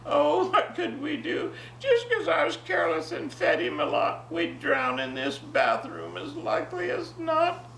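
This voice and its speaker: A woman of ninety, a grandmother with a jovial, expressive manner, talking and telling stories to her family. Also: very high-pitched, a little slow, gravelly, few pauses. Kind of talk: casual reminiscing and animated story reading for family. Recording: echoey, distant home recording with faint noise.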